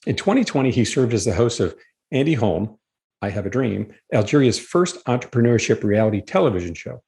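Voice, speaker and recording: A man's voice: medium pitch, big pitch swings, a little fast, a little mellow. A man hosting a podcast, reading an upbeat scripted introduction and then chatting with his guest. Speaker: podcast host.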